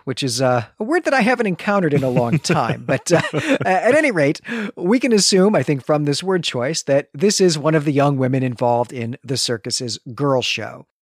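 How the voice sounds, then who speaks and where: A man co-hosting a literary podcast, recapping a story in a precise, articulate, semi-scripted way with clear emphasis. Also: a little high, no echo, studio quality. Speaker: podcast co-host.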